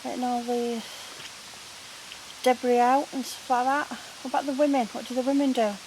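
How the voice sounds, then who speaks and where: A woman with a slightly high voice. She chats and asks curious questions into the open air. There is a clear hiss under the outdoor recording. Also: little pitch movement, moderate pace. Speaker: paranormal investigator. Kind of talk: questioning spirits on a ghost hunt. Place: outdoors at a woodland waterfall site.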